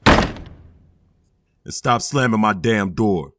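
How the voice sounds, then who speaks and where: A man's voice: lowish voice, animated, extremely slow, a bit bright, slight background noise, full character voice. An actor playing a firm but loving middle-aged stepfather who sighs often and talks wearily. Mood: threatening. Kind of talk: audio drama acting.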